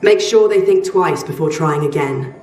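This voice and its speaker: Game casting: actress as guarded woman trader, lowish voice, measured and terse, slightly distant in a room. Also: darkish tone, natural voice. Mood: guarded, stern. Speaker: quest-giving trader. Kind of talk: video game voice acting. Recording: roomy, slightly distant, music behind.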